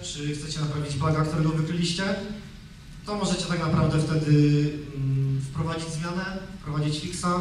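A man's voice: a little high, a little hiss or hum. Man speaking in an echoey conference hall. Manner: conversational, with frequent fillers and restarts. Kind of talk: technical conference talk. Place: large conference hall, on stage. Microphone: handheld microphone. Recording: echoey hall, distant from the mic.

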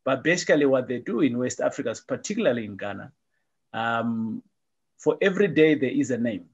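A man's voice: medium pitch, animated, a little fast, husky, muffled recording. Upbeat middle-aged man hosting a video call. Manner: upbeat, repeats phrases like "awesome stuff". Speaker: host. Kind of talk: casual video-call interview.

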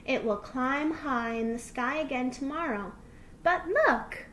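A woman voicing a patient, gentle mother fox in a children's storybook, soothing and encouraging as she teaches. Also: high-pitched, animated, a little slow, a little hiss or hum. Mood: gentle, reassuring.

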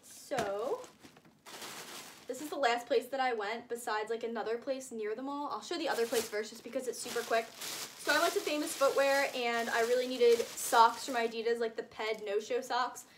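A woman in her late teens speaks in a fairly high voice, in a casual, rambling vlog style. She gushes and exaggerates as she goes. The recording is homemade, with some noise behind her. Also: moderate pace. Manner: rambling, gushing, uses frequent "like" and hyperbole. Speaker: vlogger showing shopping haul. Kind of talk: casual chatty haul vlog. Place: indoors, small room with curtain backdrop.

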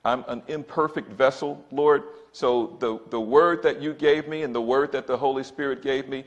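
A man in his sixties, a church elder, preaching emphatically from a pulpit and often calling out to brothers and sisters. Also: mid-range voice. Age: 60s. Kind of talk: sermon. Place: church sanctuary, indoors. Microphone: pulpit microphone, far camera.

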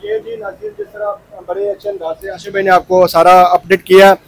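A man's voice: sits high, huge pitch swings, a little fast, vocal fry, breathy edge, low-quality recording. A man, hosting a casual shop-tour interview in an appreciative manner. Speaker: video host, interviewer. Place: small crowded shop indoors. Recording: faint noise, shop ambience.

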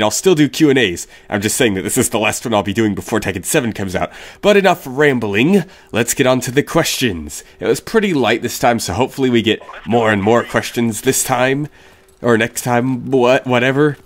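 A young man with a stuffy, sick voice hosting a casual Q&A, rambling and correcting himself as he answers viewer questions. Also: medium pitch. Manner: rambling, self-correcting, blunt opinions. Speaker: YouTube channel host.

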